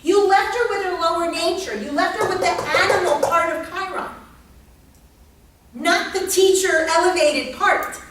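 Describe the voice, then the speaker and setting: Female lecturer, high voice, blunt and emphatic, repeats for effect, recorded at a distance. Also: a little slow. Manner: emphatic, probing, repeats phrases. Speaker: lecturer and group leader. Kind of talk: interactive psychology lecture. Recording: echoey room, distant mic, faint noise.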